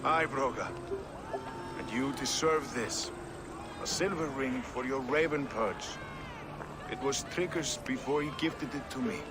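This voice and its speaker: A man's voice: medium pitch, a little slow, pauses often, close to an everyday voice. Hearty warrior town leader played by a man, noisy surroundings. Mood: warm, grateful. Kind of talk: dramatic video game cutscene acting. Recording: loud outdoor ambience behind.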